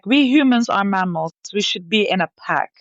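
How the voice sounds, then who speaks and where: A woman guest from Belgium, telling her story in an animated way with lively asides. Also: mid-range voice, normal speed, dry recording, lo-fi sound. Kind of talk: conversational interview.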